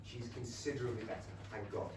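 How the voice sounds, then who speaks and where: An actor playing an eager, naive young Regency gentleman in love, in a stage comedy. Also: mid-range voice, fairly even intonation, fast talker, close to an everyday voice. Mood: earnest, defensive. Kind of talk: period comedy stage acting. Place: small London theatre. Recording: echoey theatre, distant mic, faint noise.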